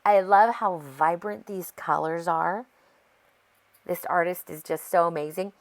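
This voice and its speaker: A woman's voice: on the low side, steady tone, a little slow. An American woman with a congested, sore-throat voice, chatting casually and rambling as she narrates a craft process. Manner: chatty, rambling, easily sidetracked. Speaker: craft video host.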